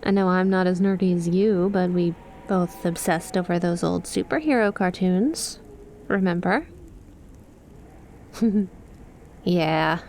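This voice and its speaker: A woman's voice: lowish voice, takes it slowly, pauses often, darkish tone, a little hiss or hum. A woman playing an affectionate young snake-girl best friend in an ASMR roleplay, teasing and chummy as she talks to the listener. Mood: upbeat, friendly.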